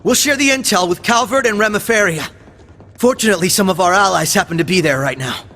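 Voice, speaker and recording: A man voicing an earnest, steady young team leader in a video game. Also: high-pitched, quick pace. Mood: composed. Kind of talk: English video game voice acting.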